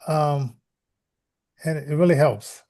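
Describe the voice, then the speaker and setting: A man with a fairly high, mellow voice hosts a casual show. His talk is informal and unscripted, wandering from one thought to the next. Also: slow, vocal fry. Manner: informal, rambling. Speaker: podcast host.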